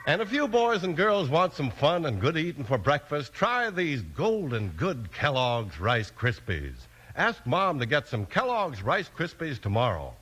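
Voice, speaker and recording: A man's voice: on the high side, wildly swooping pitch, a little slow, few pauses, a bit bright, a little hiss or hum. A man announcing in 1950s radio style, reading scripted copy and talking in a folksy way to young listeners. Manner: folksy, addressing children.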